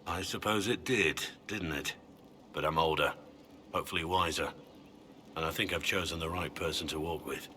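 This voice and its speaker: An actor playing an earnest, regretful older warrior in a video game, speaking in a reflective, measured way. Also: male voice, sits low, pushed and bright, a little hiss or hum. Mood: humble, hopeful. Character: earnest, regretful older warrior man, humble and world-weary. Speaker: Grey Warden warrior. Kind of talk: dramatic video game dialogue.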